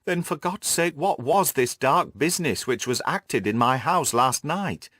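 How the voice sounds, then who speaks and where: A man's voice: medium pitch, expressive, measured pace, a bit bright, no room sound, clean studio sound, pitched above the performer's own voice. A man voicing a bewildered, distressed banker who pleads earnestly for help, in audiobook dialogue. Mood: anguished, pleading.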